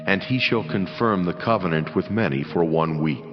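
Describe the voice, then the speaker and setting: An actor playing a solemn, commanding angel in a dramatized scripture reading, speaking in measured, declamatory phrases. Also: male voice, sits low, lightly acted. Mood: solemn, authoritative. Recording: dull sound, long cavernous reverb, music underneath.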